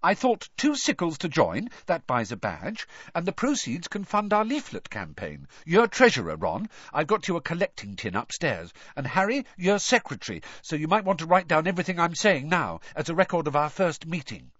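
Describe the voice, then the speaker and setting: Audiobook role: male reader as earnest, bossy teen girl crusader, raised pitch, emphatic and brisk delivery. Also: fairly bright tone, dry recording, dull audio. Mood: happy, bossy. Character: bossy, earnest, impatient teenage schoolgirl crusader.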